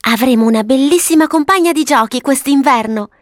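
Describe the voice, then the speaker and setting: A woman voicing a sweet, eager, bossy little girl in a storybook, earnest and excitable as she gives instructions. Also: sits high, normal speed, dry recording, clean studio sound, pitched above the performer's own voice. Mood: happily instructing. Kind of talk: storybook character voice.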